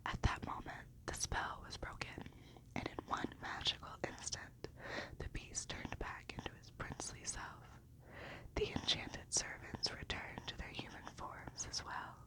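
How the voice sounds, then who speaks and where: Whispering woman reading softly, ASMR style. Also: low-quality recording. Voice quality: breathy, unvoiced whisper. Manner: gentle, unhurried delivery. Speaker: ASMR host reading a storybook.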